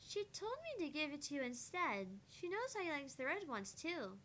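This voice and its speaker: A woman's voice: sits high, big pitch swings, moderate pace, a bit bright, slight background noise. A woman voicing a cheerful, chatty six-year-old girl, reading aloud from a visual novel.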